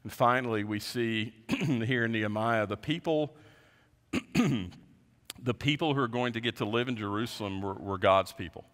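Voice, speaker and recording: A man in his fifties, a pastor preaching a sermon from a lectern in a large church, earnest, often dropping his voice low at the end of a point. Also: normal speed, fairly bright tone. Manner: earnest, gestures widely, voice drops low at ends of points. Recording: long reverb of a large sanctuary.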